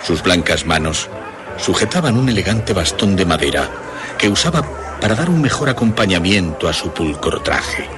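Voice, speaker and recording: A deep-voiced man narrates horror fiction. His delivery is dramatic and deliberate, with animated intonation over prominent music. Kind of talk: dramatic scripted horror storytelling.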